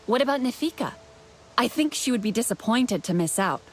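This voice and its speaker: A woman playing an earnest, friendly young alien heroine in a video game, speaking in a sincere, gentle way. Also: fairly high pitch, animated, a little fast, slight background noise, close to an everyday voice. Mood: helpful. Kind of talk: video game dialogue acting.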